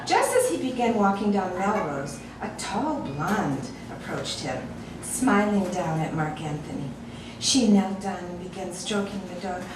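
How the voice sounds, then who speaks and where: A woman's voice: fairly low pitch, expressive, measured pace. A woman narrating a comic short story in a wry, amused way, often breaking into laughter. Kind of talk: audiobook story reading. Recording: echoey room, distant mic, noisy.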